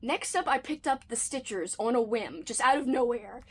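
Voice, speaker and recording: A woman's voice: on the high side, expressive, normal speed, husky. A woman chatting casually about books she read, enthusiastic and playful, her voice slightly worn. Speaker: book reviewer. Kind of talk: casual reading wrap-up chat.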